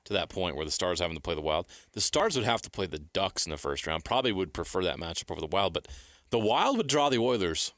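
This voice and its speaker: A man's voice: deep voice, expressive, fast, a bit bright, dry recording, studio quality. A man co-hosting a sports talk show, giving casual, conversational analysis. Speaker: sports talk radio co-host.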